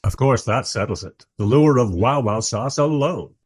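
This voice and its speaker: An actor playing a bemused, wry filmmaker who narrates and interviews in a scripted radio drama. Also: male voice, medium pitch, animated, moderate pace, husky. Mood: wry, narrating.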